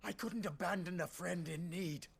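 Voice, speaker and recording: An actor playing an anxious, loyal friend in a video game, urgent and earnest. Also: male voice, sits high, normal speed, gravelly, slightly rough, heavily acted. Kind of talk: dramatic video game acting.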